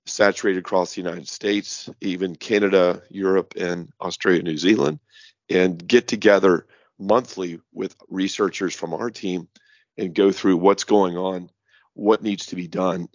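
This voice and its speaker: A middle-aged man with a hoarse voice, hosting a podcast in a rambling way with many fillers and restarts. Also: low-pitched, no echo, muffled recording. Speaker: podcast host. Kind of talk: casual podcast monologue.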